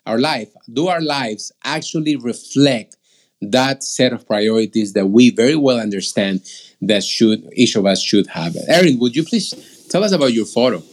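A man's voice: mid-range voice, expressive, moderate pace. A middle-aged man from Peru hosting an online faith discussion, reflective and repeating words as he thinks. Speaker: host.

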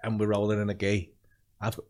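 A man hosting a podcast, asking rambling questions in a relaxed, casual way. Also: on the low side, fairly quick. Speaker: podcast host.

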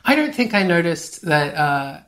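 A woman from Australia, a writer and podcast guest, telling anecdotes in a chatty, animated way. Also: on the low side, moderate pace. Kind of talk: casual anecdotal storytelling.

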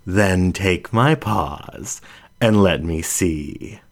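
A man voicing a calm, kindly adult lioness witch in a story reading, speaking in a measured, earnest and gentle way. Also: sits low, exaggerated voice. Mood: confident, inviting.

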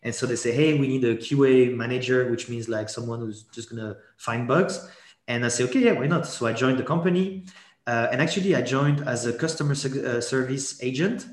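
A man's voice: mid-range voice, a little fast, roomy sound. A man with a French accent, a native French speaker, talking as a podcast guest in a loose, rambling way full of restarts. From born in Geneva to French parents. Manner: rambling, many restarts and fillers. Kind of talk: casual podcast interview.

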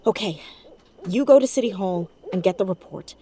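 An actress playing a determined young amateur sleuth in an audio drama, urgent and earnest. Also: female voice, medium pitch, normal speed, dark tone, natural voice. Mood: urgent, resolute. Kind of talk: scripted audio drama dialogue.

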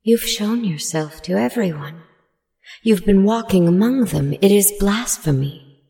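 A woman putting on a gentle winged creature who speaks a little higher than her narration, sad and earnest. It sounds soft-hearted, with a slightly gravelly grain. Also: lively intonation, unhurried. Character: gentle sorrowful winged being.

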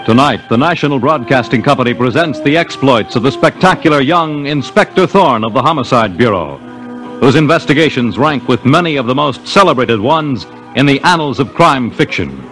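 An American actor as a solemn radio mystery narrator speaks in formal 1950s broadcast style. Music plays prominently behind his measured, scripted narration. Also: medium pitch, lively intonation, a bit bright, lightly acted, low-quality recording. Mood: grave, dramatic. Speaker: radio drama announcer and narrator.

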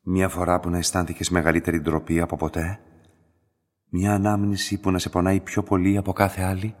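An actor playing a calm, enigmatic middle-aged laboratory master in a radio drama, measured and quietly insistent, with a husky voice. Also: male voice, lightly acted. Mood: probing, insistent. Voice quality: deep, husky. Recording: long hall-like reverberation.

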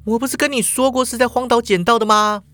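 A woman's voice: fairly high pitch, steady tone, fairly bright tone, no room sound, voice pushed up, natural voice. A man voicing a feisty, sulky young woman who whines and argues while caught in danger. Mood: exasperated. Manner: whiny, pouting, quick to argue.

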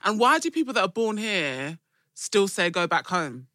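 Young woman cohost, animated and bright, giggly, keen. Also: mid-range voice, moderate pace, no room sound, studio quality. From England. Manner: enthusiastic, giggly. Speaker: podcast co-host. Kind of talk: casual chat.

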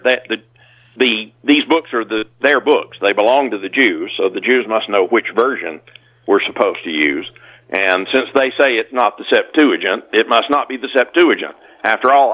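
A man talks as a guest author in an interview. He explains things in a conversational way, often backing up to repeat or rephrase a word. His delivery is halting as he works through each point. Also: fairly low pitch, fairly bright tone, rough recording. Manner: halting, with repeated self-corrections.

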